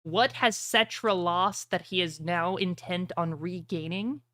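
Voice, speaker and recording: A woman's voice: lowish voice, measured pace, fairly bright tone, no echo, clean studio sound. A woman voicing the summoned ghost of a dead man, a grave and portentous prophet of doom in a tabletop game. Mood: grave, foreboding.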